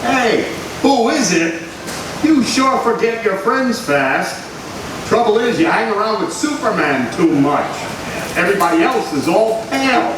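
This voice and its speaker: Heard across a very reverberant room, a slangy, breezy private eye acted by a man talks in a high voice that swoops around. It has the folksy jauntiness of old radio drama. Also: sing-song, a little slow. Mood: jaunty. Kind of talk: live recreation of an old-time radio serial. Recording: very echoey hall, far microphone, loud noise.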